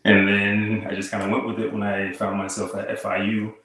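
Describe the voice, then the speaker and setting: Low-voiced man, 30s, from Haiti, laid-back guest talk, very echoey room. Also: brisk. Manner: relaxed storytelling, frequent "like" and "kinda" fillers. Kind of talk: casual interview chat.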